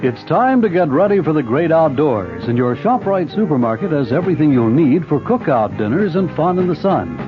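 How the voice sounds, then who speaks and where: A man's voice: on the high side, big pitch swings, brisk, hardly any pauses. A man reading a 1970s radio commercial as its announcer. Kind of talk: 1970s advertising read. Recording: muffled old radio broadcast. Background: loud jingle music.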